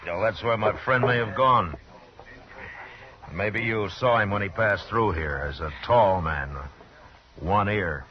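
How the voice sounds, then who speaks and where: An actor playing a stern, unflinching frontier marshal in an American radio western, terse and deliberate. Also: male voice, fairly low pitch, natural voice. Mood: guarded. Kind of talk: old-time radio western acting and narration. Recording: dull, lo-fi old radio recording.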